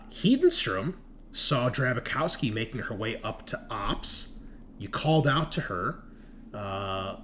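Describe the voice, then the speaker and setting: A man's voice: fairly low pitch, a bit bright. A man acting as game master, recapping a tabletop horror game in a dramatic, emphatic storytelling manner. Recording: noticeable background noise.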